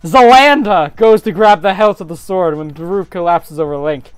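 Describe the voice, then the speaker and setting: A woman's voice: fairly low pitch, moderate pace, clear, resonant tone, dry recording. A woman narrating a fantasy story informally, as an amateur reader would, over faint music. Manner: informal, breaking into giggly asides.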